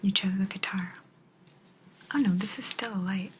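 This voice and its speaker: A woman voicing a kind but aloof alien examiner in an ASMR roleplay, in a breathy whisper with a gentle, halting delivery. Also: mid-range voice, slight background noise, lightly acted. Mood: calm, aloof. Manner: slow, halting, gentle, calmly detached.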